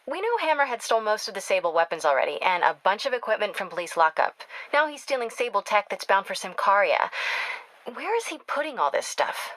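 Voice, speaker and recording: A driven young woman reporter from a video game. Her voice is bright and crisp-edged, recorded very dry. She talks in a natural, confiding way, caring and a little wry, as if on the phone to someone close. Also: medium pitch, very animated, brisk, no echo, low-quality recording. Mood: focused. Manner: conversational, earnest, confiding.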